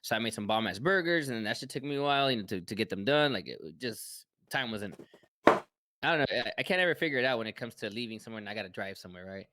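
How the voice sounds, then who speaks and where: Fast-talking profane man, casual podcast. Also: fairly low pitch, lively intonation, bright, forceful tone. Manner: rambling, profane, joking. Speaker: podcast co-host. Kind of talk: casual chat.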